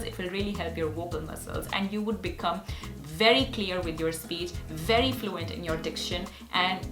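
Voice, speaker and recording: A woman whose first language is Hindi, speaking English with a Hindi accent as she teaches speech exercises in an upbeat, earnest way. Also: medium pitch. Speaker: actor and speech coach. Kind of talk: casual teaching vlog. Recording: echoey room with faint music.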